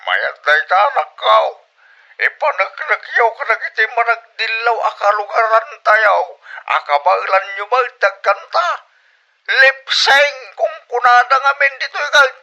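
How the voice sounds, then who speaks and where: A man's voice: very high-pitched, animated, unhurried, bright, forceful tone, full character voice. A man acting a grumbling, meddling older village father in an Ilocano comedy audio drama, with an Ilocano Filipino accent and emphatic delivery. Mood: animated, teasing.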